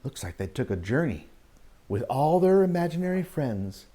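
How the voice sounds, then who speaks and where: A man teaching children through story time and Bible talk, speaking in an animated storytelling style with dramatic pauses and questions to young listeners. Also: fairly high pitch.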